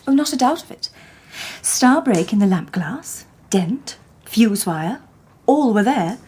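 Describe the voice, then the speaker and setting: An actress playing a shrewd, composed Victorian woman detective who narrates the story, with soft, measured diction. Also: a little high, animated, a little hiss or hum. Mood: calm. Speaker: lady detective narrating and speaking. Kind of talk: radio drama narration and dialogue.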